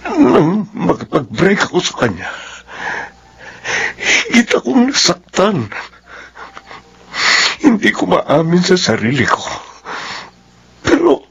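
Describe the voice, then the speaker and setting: A man's voice: high voice, huge pitch swings, slow, gravelly, pauses often, breathy edge, dull audio, heavily acted. Heartbroken, pleading young man. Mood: anguished, confessing. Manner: earnest, anguished, pleading. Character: heartbroken young lover, remorseful former heartbreaker. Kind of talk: radio drama acting.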